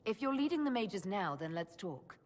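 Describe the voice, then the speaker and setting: An actress playing a composed, direct young mage leader in a video game, with a cool, measured manner. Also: female voice, mid-range voice, sing-song, a little mellow, lightly acted. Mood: guarded, determined. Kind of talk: video game cutscene acting.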